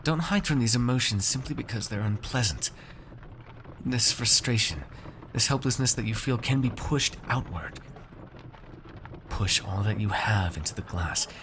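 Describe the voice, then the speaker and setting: Haughty noble master , acted by a man, fantasy ASMR roleplay: lowish voice, measured and wordy condescension, some background noise. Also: animated, fairly bright tone. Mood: stern, instructing. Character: haughty, shrewd nobleman master coaxing a frightened purchased mage servant.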